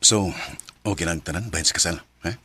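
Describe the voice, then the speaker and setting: An actor playing an eager, devoted young suitor in a Filipino radio drama, speaking earnestly and coaxingly with a Filipino accent. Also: male voice, sits low, fast talker, loud music behind the voice, lightly acted. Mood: affectionate.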